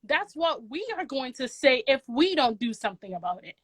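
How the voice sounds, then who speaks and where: A woman's voice: high voice, very animated, normal speed. A woman from Ghana in her thirties, a guest on a casual interview, speaking earnestly and repeating key words for emphasis. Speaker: guest, mortgage agent and credit coach.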